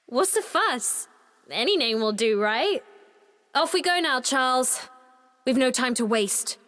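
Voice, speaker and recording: A sly, commanding young witch girl for a video game. Her high voice is haughty and theatrical, moving through lively swoops at an unhurried pace over music. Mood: smug, teasing. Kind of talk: video game character acting.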